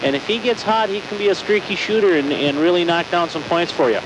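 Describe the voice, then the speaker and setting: A male radio color commentator with a high voice talks through the game conversationally, halting and doubling back on words. The broadcast recording has clear noise throughout. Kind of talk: conversational sports analysis.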